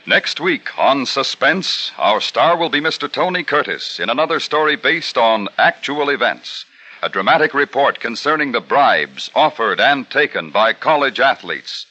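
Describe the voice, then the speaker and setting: A man announcing a radio drama in a formal, measured 1950s style. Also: medium pitch, expressive. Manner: measured, stately delivery. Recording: dull old radio broadcast with loud music.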